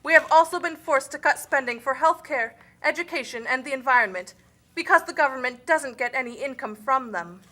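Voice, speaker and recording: High, bright, burdened poor-country spokesperson, woman acting. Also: lively intonation, fairly quick. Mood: troubled. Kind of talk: scripted educational puppet show.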